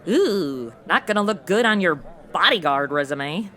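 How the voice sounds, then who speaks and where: A woman's voice: fairly low pitch, animated, a little slow, heavy background noise. An actress playing a brash, self-absorbed, sassy modern American woman in an audio comedy, blunt and flippant. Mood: flippant, impatient. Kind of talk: comedy audio drama acting.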